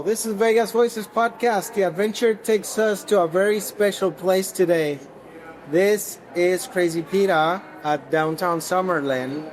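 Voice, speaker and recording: Male podcast host, high voice, talky and loose. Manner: chatty, rambling. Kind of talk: casual podcast interview.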